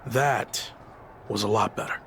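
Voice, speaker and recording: An actor playing a wary, determined young wrestler in a video game story scene, speaking plainly. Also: male voice, lowish voice, a little slow, creaky, fairly bright tone, slight background noise. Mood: dry, resolved. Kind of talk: video game cutscene acting.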